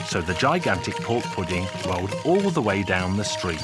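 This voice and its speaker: Man, lower-pitched voice, lively intonation, measured storybook narration, folk tale style, prominent music underneath.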